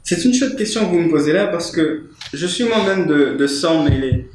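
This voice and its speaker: Male candidate, fairly high voice, earnest and persuasive, echoey studio with faint hiss. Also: expressive, normal speed, darkish tone. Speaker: local election candidate. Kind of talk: political panel discussion.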